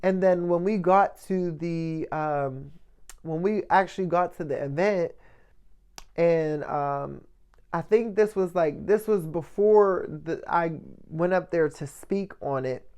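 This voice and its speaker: A man with a light, soft voice giving a casual motivational monologue as a vlogger, often checking in with the listener. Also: a little high, takes it slowly, clear, resonant tone. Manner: conversational, checks in often with "right?" and "you know".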